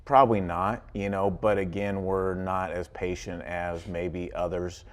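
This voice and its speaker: A man with an extremely deep voice talks as a football coach in a studio interview. He is conversational and rambling, leaning often on filler phrases. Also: flat delivery, a little slow. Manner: rambling, frequent "you know".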